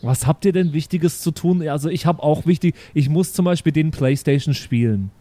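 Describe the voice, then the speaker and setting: Man playing a mellow, naive, dim-witted boyish son. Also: on the high side, moderate pace, no echo, natural voice. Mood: naive, earnest. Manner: childlike slangy talk with verbal tics.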